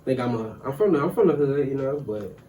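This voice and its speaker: A man's voice: mid-range voice, animated, fairly quick, warm tone, a little hiss or hum. A young man from Texas, a student, introducing himself casually as a guest. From Dallas, Texas. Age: young adult student. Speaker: podcast guest. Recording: echoey room, distant mic, faint music.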